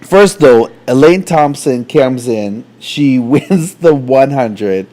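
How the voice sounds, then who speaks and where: A man's voice: mid-range voice, takes it slowly. A man from Canada co-hosting a casual podcast, telling stories with laughter and acting out the quotes. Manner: animated, laughing storyteller who acts out quotes. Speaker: podcast co-host. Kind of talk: casual chat.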